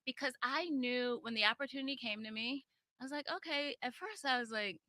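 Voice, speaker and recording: A woman being interviewed about her time on a reality show, talking in a confident and animated way. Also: high-pitched, no echo. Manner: confident, animated, quick asides. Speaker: interview guest, reality TV cast member. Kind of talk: casual interview chat.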